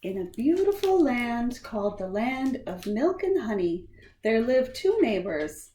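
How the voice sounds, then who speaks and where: Animated woman reading a picture book, slowly. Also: on the high side. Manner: animated, deliberate, acts out lines and sound words. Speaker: storytime reader for a library. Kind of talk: expressive children's picture-book read-aloud. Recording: roomy echo, faint background noise.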